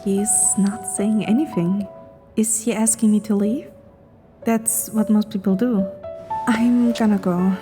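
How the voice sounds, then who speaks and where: A woman voicing a curious, polite, slightly flustered young woman in a romance story, expressive and a little hesitant. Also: medium pitch, a little slow, close to an everyday voice. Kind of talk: scripted romance story dialogue. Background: clear background music.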